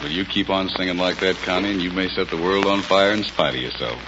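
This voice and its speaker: A man's voice: low voice, fast talker, over loud music. A man with a dry, wry manner, doing scripted 1940s radio comedy banter as host on an old broadcast. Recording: muffled, noisy 1940s radio recording.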